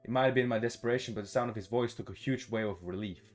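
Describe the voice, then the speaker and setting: A man's voice: medium pitch, very fast. A man reading a visual novel aloud as a gaming YouTuber, stumbling over words now and then and adding his reactions. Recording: music behind the voice.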